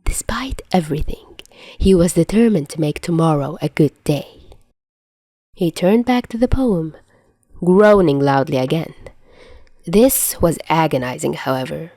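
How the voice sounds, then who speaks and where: A woman's voice: low-pitched, expressive, measured pace, mellow, no echo. A woman narrating a story as a podfic reader, in a scripted read-aloud style.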